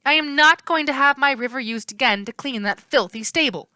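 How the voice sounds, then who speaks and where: A feisty young river nymph from a storybook, acted out by a woman. Her voice is raised and bright, with clean, crisp tone. She speaks in an indignant, sassy, emphatic way. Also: high-pitched, brisk, no room sound, clean studio sound, voice pushed up.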